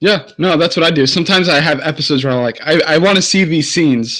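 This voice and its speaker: A man's voice: fairly high pitch, racing, fairly bright tone. A man co-hosting a casual live-stream chat, eager and enthusiastic, often restarting his sentences. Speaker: podcast co-host.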